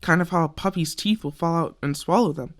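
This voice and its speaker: Man playing earnest scientist, higher-pitched voice, rambling audio log, halting, thinking aloud, radio drama. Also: close to an everyday voice. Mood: musing.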